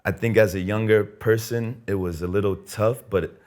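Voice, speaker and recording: A man's voice: fairly low pitch, normal speed. A man whose first language is Twi, a guest musician talking in a loose, rambling way full of fillers. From Ghana and United States. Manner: relaxed, rambling with many "like" fillers. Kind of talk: casual podcast conversation.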